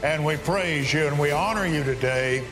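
A man's voice: a little high, moderate pace. A man leading a public prayer in a formal, declarative manner. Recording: roomy hall echo, music behind. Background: music.

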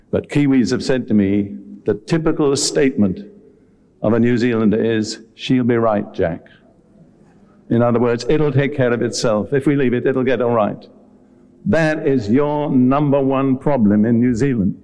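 Mellow-voiced male preacher. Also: medium pitch. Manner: earnest, deliberate, direct address to listeners. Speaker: visiting preacher. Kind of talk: sermon with Bible teaching and altar call. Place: live before a congregation at a meeting.

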